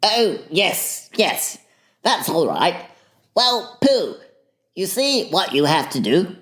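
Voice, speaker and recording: A man's voice: high-pitched, big pitch swings, slow, a bit bright, pitched above the performer's own voice, full character voice. A man voicing a bossy, self-assured rabbit who lays out plans in an orderly way, in a dramatized storybook.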